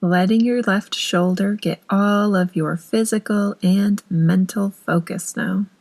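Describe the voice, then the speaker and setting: A woman narrating a guided meditation in a soothing, unhurried manner with long pauses between phrases. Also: medium pitch, fairly even intonation, creaky, darkish tone.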